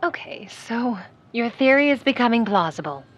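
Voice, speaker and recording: Sardonic 40s starlet, ambitious and dry, fairly high voice, soft music behind, noir radio play. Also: female voice, expressive, normal speed, highs cut off. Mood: determined. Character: ambitious, undeterred, sardonic aspiring actress of old Hollywood. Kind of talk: 1940s noir audio drama acting.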